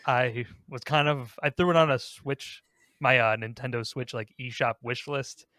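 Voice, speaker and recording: A man hosts a relaxed podcast panel in an informal, conversational way. His voice sits at a middling pitch and is recorded dry, with very little room sound. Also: moderate pace, no echo. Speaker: host of a ranking podcast. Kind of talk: casual panel discussion.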